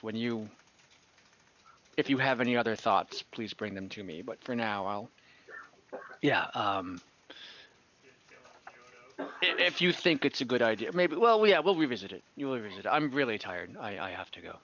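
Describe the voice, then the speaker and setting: A man playing a tired, polite town leader in a tabletop game, talking in a halting, rambling, diplomatic way with many self-corrections. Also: fairly low pitch, lively intonation, a bit bright, lo-fi sound, slight background noise, pitched below the performer's own voice. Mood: weary, flustered. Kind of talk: improvised in-character tabletop roleplay.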